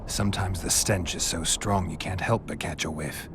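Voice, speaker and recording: An actor playing a terse, skeptical monster hunter for hire in a video game, speaking in a gravelly, dry, laconic way. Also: male voice, steady tone, normal speed, a bit bright, slight background noise. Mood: firm, wry. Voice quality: gravelly, very deep. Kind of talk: video game cutscene dialogue.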